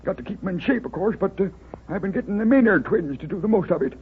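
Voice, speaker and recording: A man's voice: sits high, animated, quick pace, husky, full character voice. An actor playing a weary, worried old boathouse man with a rural American accent and a hesitant, repetitive manner in a 1930s radio drama. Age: older. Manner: hesitant, folksy, repeats himself. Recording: tinny, noisy 1930s radio recording.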